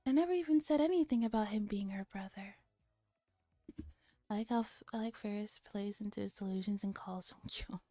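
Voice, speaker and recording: A woman chats on an ASMR stream with playful, slangy asides. Her voice is relaxed and close-sounding, very dry, with faint music behind it. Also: mid-range voice, brisk, dry recording. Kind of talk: soft casual ASMR stream chat.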